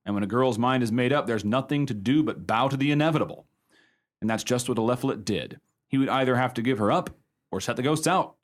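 An actor playing a folksy storyteller in a radio play, spinning a ghost yarn in a wry, unhurried way. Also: male voice, mid-range voice, lightly acted. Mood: wry, narrating.

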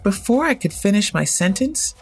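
Husky horror storyteller, mid-pitched. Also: big pitch swings, normal speed. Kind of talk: first-person scripted horror fiction narration.

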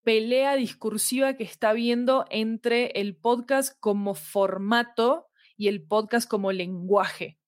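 A woman's voice: a little high, slow pace, few pauses, no room sound, studio quality. A woman with a Rioplatense accent, an interview guest talking casually and reflectively, thinking aloud in colloquial language. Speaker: podcast producer, interview guest.

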